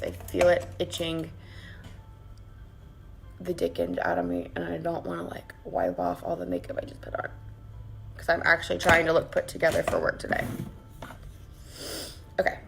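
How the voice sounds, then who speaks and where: A woman with a raspy voice, chatting casually with her viewers while she does her makeup at her vanity at home. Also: lowish voice. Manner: chatty, greets viewers by name and rambles while working. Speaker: makeup vlogger. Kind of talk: casual live-streamed makeup tutorial. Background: faint background music.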